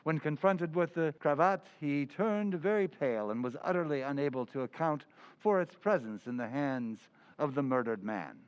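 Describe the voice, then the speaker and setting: A man playing an earnest, methodical police inspector in an old-time radio drama, giving formal, deliberate reports. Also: fairly high pitch, no room sound, dull audio, close to an everyday voice. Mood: regretful, reporting. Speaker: community theatre actor. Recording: clear background noise.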